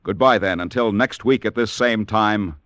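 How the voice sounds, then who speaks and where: Low-voiced man announcing on vintage radio. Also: moderate pace, a bit bright, no room sound. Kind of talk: 1940s radio sign-off announcing. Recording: old radio broadcast, organ music.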